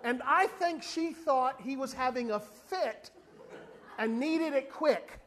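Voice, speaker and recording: A man's voice: very high-pitched, slow pace. A man preaching a sermon as an evangelist, telling a funny story and briefly acting out the people in it. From not from South Africa. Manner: animated storytelling, acts out other people's voices.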